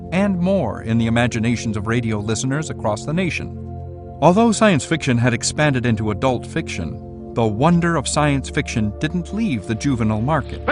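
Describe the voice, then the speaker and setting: Male documentary narrator over music. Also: medium pitch. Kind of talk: scripted narration. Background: prominent music.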